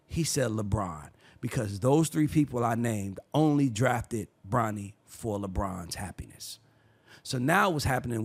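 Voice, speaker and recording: A man hosting a sports opinion show, arguing his case in a heated, preachy way and sometimes building to shouts. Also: fairly high pitch. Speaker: show host.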